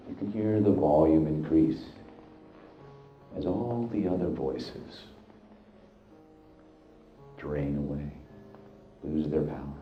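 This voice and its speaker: Very deep, slow-voiced man guiding hypnosis. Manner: deliberate, halting short phrases with hypnotic repetition. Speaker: hypnosis guide. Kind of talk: guided hypnosis, slow meditative narration. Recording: echoey, dull sound with music behind. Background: background music.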